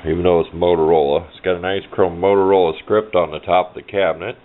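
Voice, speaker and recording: Low-voiced man on muffled, noisy audio. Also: fairly even intonation, fast talker. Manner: rambling, folksy, thinking aloud. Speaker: hobbyist presenting a radio project. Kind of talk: casual hands-on show-and-tell. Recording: muffled phone-like audio with clear background noise.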